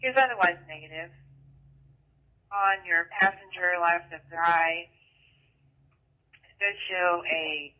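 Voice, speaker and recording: A woman's voice: lowish voice, takes it slowly, long pauses. A woman with an American accent working as a police dispatcher, reading records and codes in clipped, procedural phrases over a police radio. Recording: tinny narrow-band police scanner radio.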